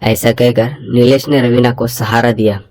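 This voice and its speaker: A man's voice: medium pitch, steady tone, moderate pace, dry recording. A man narrating a scripted bedtime story with dramatic storytelling and voicing the hero's lines. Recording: loud music bed under narration.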